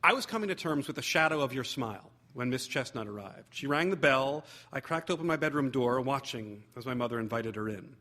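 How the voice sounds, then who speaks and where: A man reading a humorous memoir aloud as theatrical narration, wry and expressive with deliberate phrasing. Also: medium pitch. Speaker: actor reading a short story.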